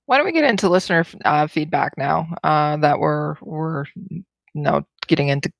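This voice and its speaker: A woman with a lowish voice and plenty of vocal fry co-hosts a podcast. She banters casually in a teasing, playful way, recorded dry. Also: normal speed, no room sound. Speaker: podcast co-host.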